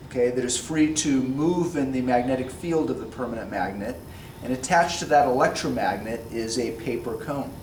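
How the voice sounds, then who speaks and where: Man teaching in an echoey room. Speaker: physics teacher. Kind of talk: explanatory science demonstration. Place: classroom science lab, indoors. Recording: roomy, distant mic, background rumble.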